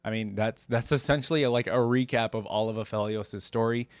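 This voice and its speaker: A man's voice: on the low side, fairly even intonation, a little fast, no echo. A man hosting a reaction video with casual, rambling commentary, thinking aloud in an informal way.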